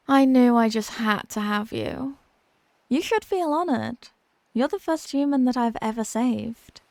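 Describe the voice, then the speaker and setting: A woman plays a young mermaid princess with a fairly high voice, recorded close and dry. She is possessive and haughty, talking down to her listener with sweet, teasing smugness in an ASMR roleplay style. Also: a little mellow, no room sound. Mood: possessive, indignant. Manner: condescending, sweetly smug, teasing. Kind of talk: scripted roleplay ASMR audio drama.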